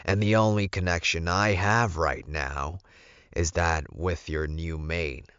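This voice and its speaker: Man voicing a slow, low, reproachful wolf spirit. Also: steady tone, fairly bright tone, smooth. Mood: grim, dismissive. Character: stern inner wolf spirit, reproachful and disappointed. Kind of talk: audiobook character voice. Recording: muffled, boxy, echoey room recording.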